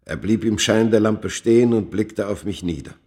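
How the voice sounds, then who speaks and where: A man's voice: on the low side, mellow, natural voice. An actor playing a tormented undying man who recounts his cursed past in grave, literary radio-drama narration. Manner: grave, deliberate, literary storytelling.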